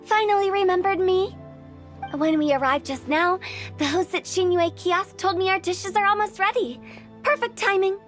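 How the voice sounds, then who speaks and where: A woman's voice: extremely high pitch, normal speed. A woman voicing a playful, cheeky, self-assured young woman in an anime video game. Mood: teasing, cheerful. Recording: music behind the voice.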